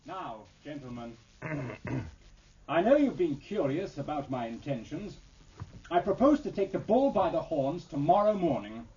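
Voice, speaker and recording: An actor playing a stiff, secretive British first lieutenant with a formal, clipped delivery in a 1950s radio drama. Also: male voice, on the high side, big pitch swings, a little slow, warm tone. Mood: resolute. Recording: dull, noisy old radio recording.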